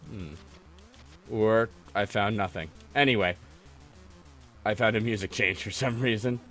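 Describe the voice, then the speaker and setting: Man chatting casually over loud game music. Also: on the low side, measured pace. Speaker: host of a gaming livestream podcast taking callers. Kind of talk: casual chat while playing a game. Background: retro video game music.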